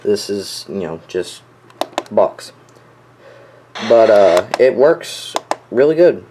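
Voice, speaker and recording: A young man giving a casual, offhand showcase of his collection, halting and often trailing off. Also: lowish voice, lively intonation, background noise. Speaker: collector showing weather radios. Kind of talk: casual product showcase vlog.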